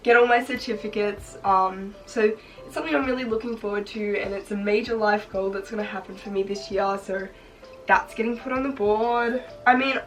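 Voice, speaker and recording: A teenage girl, her voice hoarse and congested, chatting upbeat with lots of "like" fillers as she makes a craft project on her bed. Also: medium pitch, fairly even intonation, normal speed, distant mic, faint music behind, poor audio. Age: teens. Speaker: vlogger. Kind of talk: casual chat while crafting. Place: bedroom, sitting on her bed.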